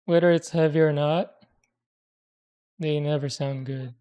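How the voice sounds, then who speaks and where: A woman with a Filipino accent talks in a relaxed, chatty way, trading opinions with loose, rambling asides. Her voice sits a little lower than most women's. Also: unhurried, smooth. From Philippines. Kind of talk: casual chat.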